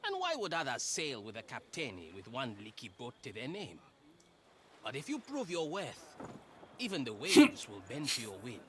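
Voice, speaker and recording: An actor playing a gruff, scornful, boastful male pirate shipwright in a video game, with theatrical taunting delivery. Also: medium pitch, wildly swooping pitch, normal speed, slight background noise.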